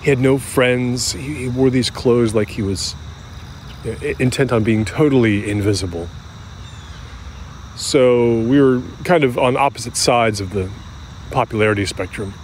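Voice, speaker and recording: An actor playing a middle-aged American man who recalls his youth candidly, in a halting, conversational, self-deprecating way, as if interviewed for an audio drama. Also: medium pitch, slight background noise, close to an everyday voice. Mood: candid, rueful. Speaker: interview subject. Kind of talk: naturalistic audio drama acting as interview reminiscence.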